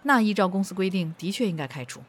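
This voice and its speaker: An actress playing a sardonic, no-nonsense female personnel officer in an audio drama, brisk and probing. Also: mid-range voice, lively intonation, close to an everyday voice. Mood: probing, wry. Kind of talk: Chinese audio drama acting.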